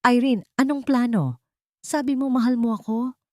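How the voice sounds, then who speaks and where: A woman's voice: on the high side, moderate pace, no room sound, clean studio sound, voice pushed up, natural voice. A woman voicing a greedy, gullible Filipino man, an eager scheming son and husband in an audio story. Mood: bewildered.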